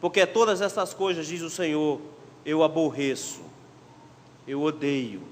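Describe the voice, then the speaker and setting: High-voiced Pernambuco preacher in a reverberant church. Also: male voice, unhurried, slight background noise. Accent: Pernambuco Portuguese. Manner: emphatic, swings between loud exhortation and quiet asides. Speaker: pastor. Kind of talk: sermon. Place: church sanctuary.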